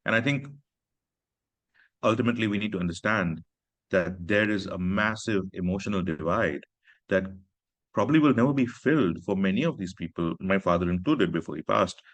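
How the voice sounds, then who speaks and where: A man's voice: sits low, expressive, no room sound, muffled recording. A man from Pakistan, an author speaking earnestly in a reflective conversation. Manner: earnest, measured, personal storytelling.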